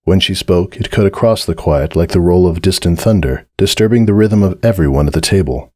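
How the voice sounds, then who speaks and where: A low-pitched man narrates fiction in a dramatic, solemn manner. His phrasing is measured and deliberate, and the recording is close and dry. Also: a little mellow, dry recording. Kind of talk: dramatic scripted story narration.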